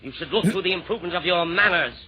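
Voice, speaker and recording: An actor playing a pompous, irritable fiddling Roman emperor in a rhymed vintage radio comedy, theatrical and indignant. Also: male voice, high-pitched, fast, crowd noise, lightly acted. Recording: dull vintage radio recording.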